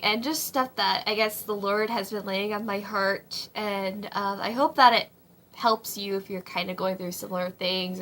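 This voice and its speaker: A young woman in her twenties, slightly hoarse, giving casual advice from her home kitchen in a rambling way, with many ums and likes. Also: a little high, a bit bright, slight background noise. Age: 20s. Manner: rambling, full of ums and likes, frequent laughs. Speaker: vlogger. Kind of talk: casual faith-based advice vlog.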